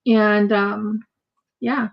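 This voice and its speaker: A woman's voice: mid-range voice, level tone, extremely slow, gravelly, muffled recording. An American woman chatting casually and rambling as she crafts on a livestream, often repeating her words. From United States. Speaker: craft streamer.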